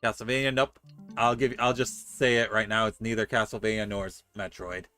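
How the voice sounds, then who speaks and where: A man's voice: on the low side, level tone, brisk, pushed and bright, soft background music. A man chatting casually as he streams a video game and answers his chat. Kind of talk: casual game livestream chat, reading dialogue aloud.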